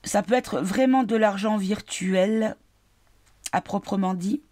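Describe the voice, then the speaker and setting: A woman giving a casual card reading, tired and congested from a cold, halting as she searches for her words. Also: mid-range voice, warm tone. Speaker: card reader.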